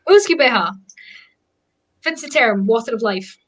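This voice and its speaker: A woman's voice: a little high, expressive, normal speed. Scottish woman, native Gaelic speaker, cheerful guest, laughs easily, keen to explain words. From Scotland. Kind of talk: casual video call chat.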